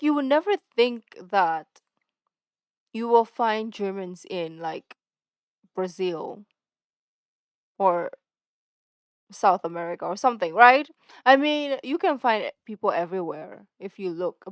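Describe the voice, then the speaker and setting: A woman's voice: a little high, no echo. A woman hosting a reaction video, rambling and chatty, often correcting herself. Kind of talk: casual chat reacting to a video.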